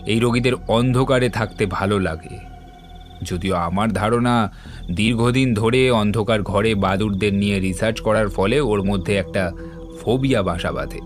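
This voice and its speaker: A shrewd detective, played by a man, speaks in a low voice with measured assurance. He lays out his reasoning like an audio-drama monologue, composed and deliberate, with soft music underneath. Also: natural voice. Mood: grave, explaining. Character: composed, shrewd male detective explaining a case. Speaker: voice actor in an audio story.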